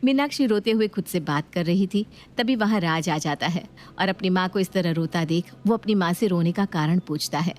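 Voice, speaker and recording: A woman narrating a cartoon moral story in a steady, plain storytelling voice. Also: on the low side, normal speed, few pauses.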